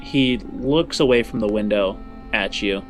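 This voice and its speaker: A man's voice: on the low side, measured pace. A man running a tabletop roleplaying game as game master, narrating scenes in descriptive storytelling. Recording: music under the voice.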